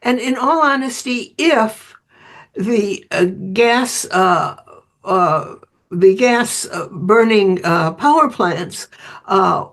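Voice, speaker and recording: A woman answering interview questions as an expert author, explaining conversationally and voicing short imagined quotes as she goes. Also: medium pitch.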